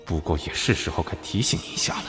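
Man acting an imperious, wildly expressive highborn prince. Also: quick pace. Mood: cold, resolute. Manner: dramatic, impassioned, imperious. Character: proud, emotional young prince regent of imperial China. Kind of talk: Chinese historical audio drama acting. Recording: background music under the voice.

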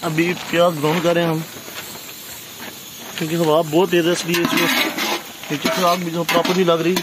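A man's voice: fairly high pitch, completely flat delivery, brisk. A man casually narrating a night fishing camp vlog, showing the camera around as the group cooks outdoors. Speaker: fishing vlogger. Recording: outdoor phone recording with clear background noise.

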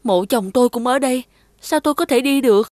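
A meek village widow from a cartoon fairy tale, played by a woman. Her voice is fairly high and closely recorded, with a weary, resigned and humble way of talking. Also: brisk, a little mellow, no echo, clean studio sound, close to an everyday voice. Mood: sorrowful. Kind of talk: animated folktale dubbing.

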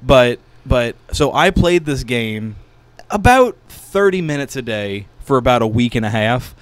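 A man's voice: mid-range voice, big pitch swings, a little slow, dry recording. A man chatting casually as a podcast co-host, often groping for his words. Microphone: podcast microphone in a small studio.

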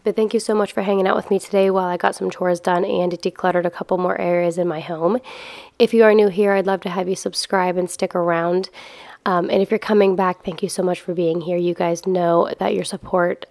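Chatty woman doing casual vlog voiceover, lowish voice, dry recording. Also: flat delivery, a little fast, creaky, a little mellow, no room sound. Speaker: vlogger. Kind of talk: casual scripted-feel vlog voice-over.

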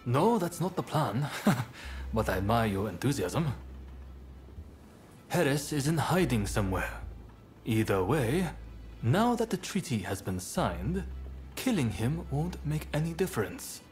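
A man playing a composed, courteous young samurai rebel leader in a video game, speaking in a polite, measured and earnest way. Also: mid-range voice, sing-song, a little mellow, faint music behind. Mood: wry, measured. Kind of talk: video game cutscene acting.

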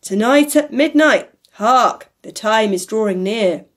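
A woman's voice: medium pitch, fairly even intonation, measured pace, a little mellow, lightly acted. Woman voicing a solemn, declaiming old male spirit. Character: grave, sorrowful aging male spirit, solemn and admonishing.

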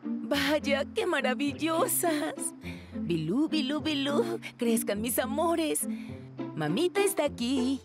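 Doting cartoon mother, high voice, unhurried, cooing, music playing loudly behind. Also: lively intonation. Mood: dreamy, doting. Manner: theatrical, cooing. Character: cheerful doting mother who adores her garden. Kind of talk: Spanish-language cartoon dub. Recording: loud music bed under studio voice.

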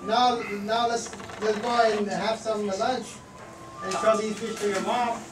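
A woman's voice: on the high side, steady tone, measured pace, over music, natural voice. A woman playing an eager, encouraging father bear in a children's puppet show. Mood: proud. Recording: echoey, noisy room from far off.

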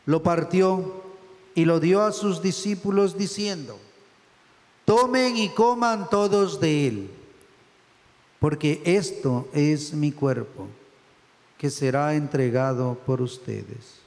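A middle-aged man speaks as a priest at the altar, reciting prayers solemnly. His voice sits fairly high and carries a long church reverb. The phrasing is measured and reverent. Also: darkish tone. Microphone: stand microphone at the altar.

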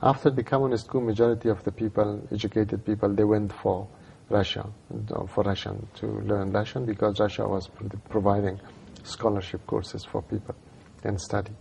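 A man in his fifties from Afghanistan with an Afghan accent and Dari as his first language, speaking English haltingly with fillers in a studio TV interview. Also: on the low side, little pitch movement, gravelly, a little mellow, a little hiss or hum. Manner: halting, many fillers and restarts. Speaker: civil society director, interview guest. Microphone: lapel.

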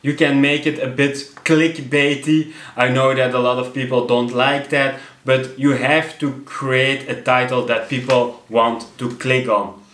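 A man's voice: mid-range voice, flat delivery, a little slow, a bit bright, reverberant. A young man from Belgium with a Belgian accent, hosting a talking-head tutorial from his desk and explaining with many fillers and restarts. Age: young adult. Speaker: channel host. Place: small home office room, indoors at a desk. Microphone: fixed camera at desk distance.

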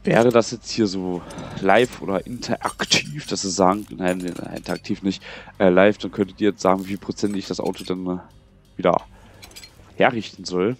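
A man's voice: deep voice, fairly even intonation, moderate pace, slight background noise. A young man with a cold, casually rambling and muttering to himself as he plays a game for his channel. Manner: chatty, rambling, muttering to himself while playing. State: has a cold. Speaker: gaming channel host. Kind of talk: casual let's play commentary.

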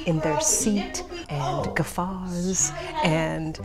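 A woman's voice: fairly low pitch, big pitch swings, extremely slow. A woman theatre director speaking in a promotional interview about a play. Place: indoors in a theatre. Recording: loud music behind the voice. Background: loud music.

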